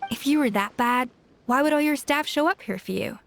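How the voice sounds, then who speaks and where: An actress playing a proud, brisk young female chef in a video game, blunt and exasperated in manner. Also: high voice, creaky.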